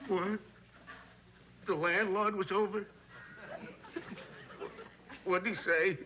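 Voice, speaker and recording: A wheedling telegram messenger in an old TV comedy. His voice sits fairly high and he hints hesitantly, playing for sympathy. The vintage soundtrack is clearly noisy. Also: steady tone, highs cut off. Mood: pitiful. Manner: hesitant, meek, drawn-out hinting. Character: wheedling male telegram messenger putting on a pitiful act. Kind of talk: 1950s television sitcom acting.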